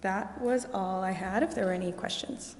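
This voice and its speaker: Woman vendor talking thru a pitch, lots of ums, long reverb in the hall. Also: normal speed. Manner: many "um" fillers, explanatory sales pitch. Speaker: vendor presenting a security system. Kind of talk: presentation to a city council. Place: council chamber. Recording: echoey chamber with long reverb.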